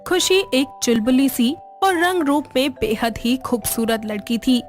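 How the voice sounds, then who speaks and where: Female narrator for scripted moral stories, slightly high pitch, music underneath. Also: lively intonation, unhurried.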